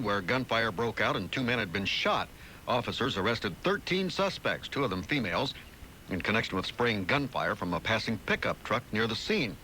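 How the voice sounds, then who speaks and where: A man's voice: mid-range voice, sharp tone. A man reading a scripted local news bulletin on old AM radio. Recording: slightly noisy old AM radio aircheck.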